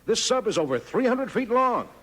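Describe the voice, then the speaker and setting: An American man reports in excited vintage newsreel style. His high, husky voice swoops up and down and rings with long reverb. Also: moderate pace. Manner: excited, declamatory. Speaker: reporter in radio advertisement.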